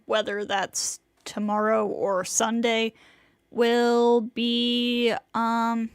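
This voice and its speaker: A woman livestreaming a game, chatting casually and reacting out loud to what happens on screen. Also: a little high, clean tone, no room sound. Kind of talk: casual livestream gaming chat. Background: game music.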